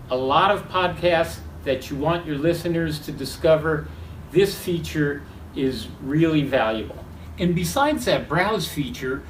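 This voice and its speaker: A man demonstrates a product in a somewhat high voice. He sounds a little way from the microphone in an echoey room, with slight noise behind him. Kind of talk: spoken product demo. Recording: roomy, distant mic, faint noise.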